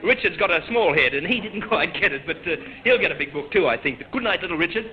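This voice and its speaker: Animated, high-pitched radio quizmaster, a man. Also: fast. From Australia. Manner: teasing, bantering, chuckling. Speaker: radio quiz show host. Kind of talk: playful vintage radio quiz hosting. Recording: dull old radio recording, noisy studio audience.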